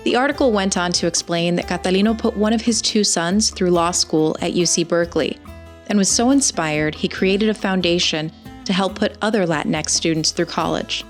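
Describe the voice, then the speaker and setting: A woman presenting a prepared story in a measured, read-aloud delivery. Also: lowish voice, faint music behind. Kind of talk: scripted storytelling narration. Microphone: video call.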